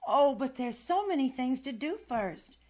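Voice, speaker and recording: A woman's voice: high voice, very animated, a little slow, a little mellow, exaggerated voice. A woman playing a kindly, fretful nanny in an old-time radio drama, coaxing in a motherly way. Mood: gently evasive. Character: kindly, fretful nanny soothing a little girl.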